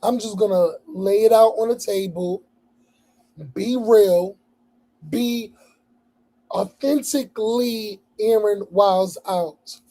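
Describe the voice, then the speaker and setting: A man hosting a casual livestream, hoarse, speaking bluntly with a lot of profanity and repetition. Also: sits high, slow, long pauses, dark tone, rough recording. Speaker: livestream host.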